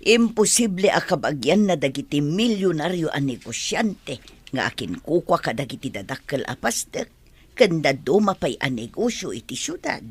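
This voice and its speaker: An actress playing a kindly, concerned middle-aged mother with a husky voice in an Ilocano radio drama. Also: deep voice, sing-song, moderate pace, dry recording, exaggerated voice. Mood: concerned, reassuring.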